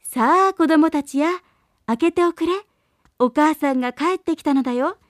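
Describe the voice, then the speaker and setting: Brief: woman reader as a crafty storybook wolf, wheedling, high and dry close voice. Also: moderate pace, no echo, close to an everyday voice. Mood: coaxing. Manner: coaxing, then threatening. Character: sly male wolf disguising himself as mother. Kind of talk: storybook reading.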